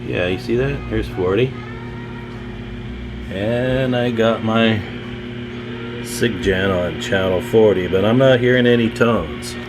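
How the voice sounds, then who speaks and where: A man with a low voice talks through a hands-on electronics demonstration over music. He is casual and informal, thinking aloud like a hobbyist at the workbench. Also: slow pace, pauses often, rough recording. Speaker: radio repair hobbyist presenting.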